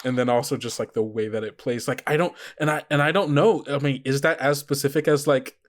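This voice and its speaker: A man co-hosting a podcast, casually talking through ideas for a tabletop role-playing game in a halting, think-aloud way. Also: medium pitch. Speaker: podcast host and game facilitator. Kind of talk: casual unscripted tabletop role-play discussion.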